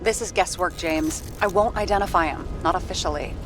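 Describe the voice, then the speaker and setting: An actress playing a guarded, by-the-book police liaison in a video game, speaking in a matter-of-fact, firm way as a detective's remote handler. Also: female voice, lowish voice, brisk, over music, natural voice. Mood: businesslike, insistent. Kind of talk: video game dialogue acting. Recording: loud vehicle and ambience noise.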